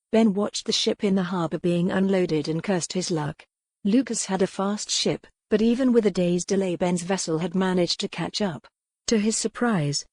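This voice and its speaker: A woman's voice: medium pitch, darkish tone, no room sound. A woman reading scripted dramatic fiction as an audiobook narrator.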